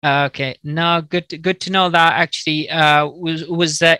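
A man, a Pashto speaker, hosting a friendly, halting video call chat over a headset mic. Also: a little high, fairly even intonation, pushed and bright, clean tone, dry recording. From Pakistan. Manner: friendly, halting with many restarts. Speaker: host. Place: small indoor room.

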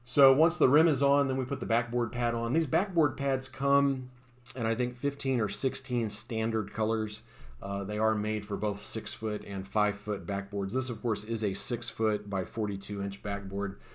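A man's voice: lowish voice, steady tone, normal speed. A man, an installer, talking through the steps of a job in a plain, technical voice-over, with frequent uh's and restarts. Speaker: sport court installer. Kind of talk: voice-over explaining a time-lapse install.

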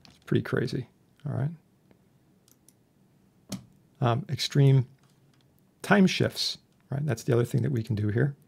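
A man narrating a casual software tutorial as an instructor, explaining things informally. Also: medium pitch, moderate pace, gravelly, husky. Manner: informal, explanatory with homely analogies. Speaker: instructor demonstrating audio software. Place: indoor voice-over for a screen recording.

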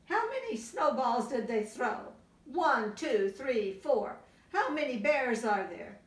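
Older woman reading slowly, very animated. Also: a little high. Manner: expressive, pausing to ask young listeners questions. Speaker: library story time reader. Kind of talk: animated children's picture book read-aloud. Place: library children's room, indoors. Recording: roomy, distant camera sound.

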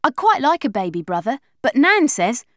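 Woman audiobook narrator, bright girlish first-person voice, swooping lively pitch, dry close sound. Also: moderate pace, no room sound. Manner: chatty, confiding, lively schoolgirl voice. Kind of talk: first-person storybook reading as a young girl.

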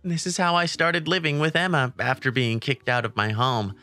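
A woman's voice: a bass voice, fairly even intonation, normal speed, sharp tone. A woman voicing a timid, kindhearted teenage boy who narrates his story and stammers when flustered, in a manga comic dub. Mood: wistful narration. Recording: background music under the voice.

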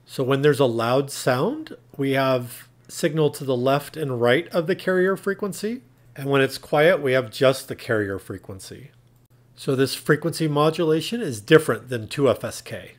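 A man's voice: mid-range voice, normal speed. A man narrating a tech tutorial in a plain, instructional, step-by-step way. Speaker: tutorial presenter.

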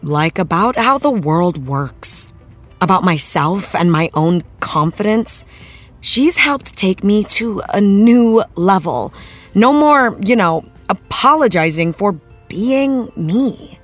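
A candid actress chats as a talk show guest. She is playful and self-deprecating, with very animated pitch and a leisurely pace. Music sits behind her voice. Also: takes it slowly. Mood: earnest, proud. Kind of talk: conversational celebrity interview.

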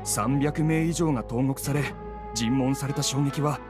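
A man's voice: medium pitch, unhurried, over music, close to an everyday voice. A man voicing a polite, earnest young officer in an anime game, reporting in a formal, orderly way. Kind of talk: Japanese anime game voice acting.

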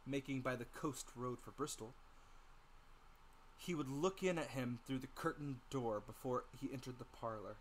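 A man's voice: medium pitch, slight background noise, rough recording. A man narrating a classic adventure novel as a dramatic audiobook reading.

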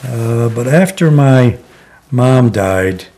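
An older man in his seventies from Connecticut, reminiscing slowly in a sit-down oral history interview, with many pauses and "uh" fillers. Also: medium pitch, animated, dark tone, slight background noise. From Connecticut, USA. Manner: slow, reflective, many "uh" pauses. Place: living room, seated in armchair.

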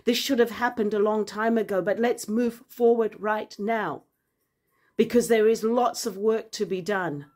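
A woman in her forties who grew up in Zambia, talking earnestly to a phone camera in a bright room with big windows, measured and then forceful. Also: medium pitch, fairly even intonation. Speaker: vlogger and author. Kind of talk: impassioned personal address to camera. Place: indoor room with large windows and plants. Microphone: phone camera at arm's length.

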